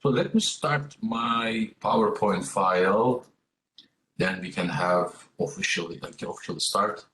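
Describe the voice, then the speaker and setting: A man's voice: fairly low pitch, a little slow, a bit bright, echoey room, slight background noise, poor audio. A man from Turkey with a Turkish accent, presenting a graduate program at a virtual open house in an informal way, with many fillers. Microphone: video call.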